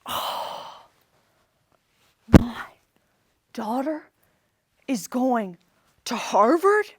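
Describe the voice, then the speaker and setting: A woman voicing a tender, proud deaf father in a dramatic monologue, breathy and whispered, speaking haltingly word by word. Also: on the high side, very animated, a little hiss or hum, exaggerated voice. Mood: tender pride.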